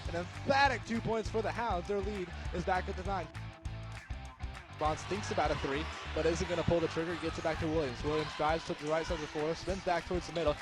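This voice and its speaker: A young American man giving live radio sports commentary, moving between measured description and excited, shouted calls. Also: on the high side, music in the background. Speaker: play-by-play sports announcer.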